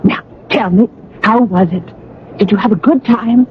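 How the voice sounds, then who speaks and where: A woman's voice: mid-range voice, a little slow, close to an everyday voice. An actress playing a doting middle-aged mother in a radio drama, fussing and coaxing in an effusive way. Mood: excited, bustling. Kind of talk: 1980 radio drama acting. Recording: dull, noisy vintage radio recording.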